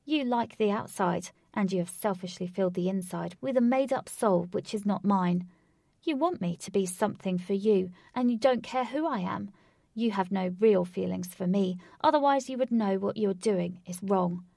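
Woman reading a defiant young woman, loud and high. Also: moderate pace, vocal fry, no echo, studio quality. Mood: insistent. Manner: forceful, pleading, high. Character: defiant young woman confronting her stalker.

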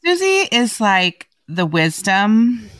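A woman's voice: mid-range voice, very animated, takes it slowly, fairly bright tone, dry recording, clean studio sound. A woman hosting a podcast, greeting listeners and introducing a guest in an upbeat, chatty way. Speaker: podcast host.

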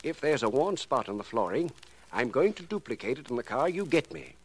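A man acting in a 1940s radio drama as a cold, precise, self-assured criminal professor who speaks formally and deliberately. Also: mid-range voice, sing-song, slightly rough. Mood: scheming, self-satisfied. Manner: deliberate, educated, formal wording. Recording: noisy vintage radio recording.

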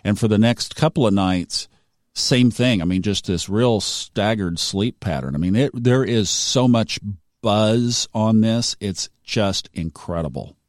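Deep-voiced man, dry close sound. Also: unhurried, no room sound. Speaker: podcast host. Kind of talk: casual podcast chat.

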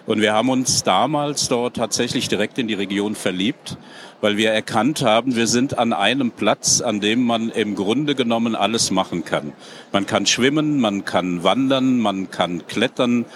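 Measured middle-aged German man, lowish. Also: a little hiss or hum. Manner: measured, thoughtful, with frequent hesitations. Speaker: guest. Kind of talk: panel talk at a trade fair.

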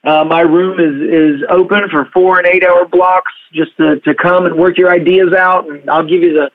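High-voiced man on a tinny phone line. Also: fairly even intonation, normal speed. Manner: chatty, loose, hesitant with fillers. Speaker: podcaster and guest. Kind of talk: casual chat.

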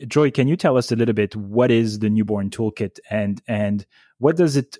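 A man's voice: medium pitch, a little mellow, dry recording, clean studio sound. A man co-hosting a podcast, putting questions to a guest in an inquisitive, polite way. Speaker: podcast co-host. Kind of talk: interview questions.

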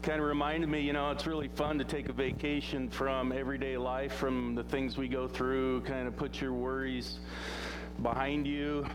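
A man's voice: medium pitch, little pitch movement, normal speed, background noise. A man preaching an informal sermon in a church, talking in a loose, rambling way with plenty of fillers and restarts. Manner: says he has a loud voice. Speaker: pastor.

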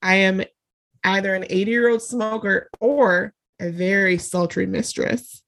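Congested, gravelly woman podcaster. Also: medium pitch, steady tone, unhurried, a bit bright. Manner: laughing, bubbly. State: congested, nasal from a cold. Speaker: podcast co-host. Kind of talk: casual chat.

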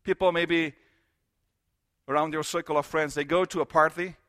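A man's voice: fairly high pitch, fairly even intonation, normal speed, fairly bright tone. A middle-aged man from Romania, preaching a sermon in English. He speaks emphatically, building through repeated phrases and rhetorical questions.

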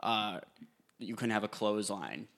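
A man's voice: lowish voice, a little slow, fairly bright tone. A young man co-hosting a podcast, rambling through casual topics with fillers and dry jokes. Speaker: podcast co-host. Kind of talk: casual chat.

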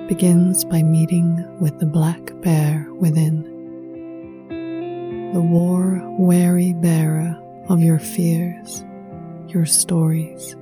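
A woman leading a guided meditation in a soft, breathy voice that often sinks near a whisper, hushed, soothing and unhurried, with poetic scripted phrasing. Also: lowish voice. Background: music.

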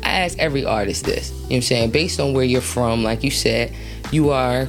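A woman's voice: a bass voice, fairly quick. Slangy, chatty woman host over music. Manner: chatty, laughing, slangy, long rambling questions. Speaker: interview host. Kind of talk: casual interview.

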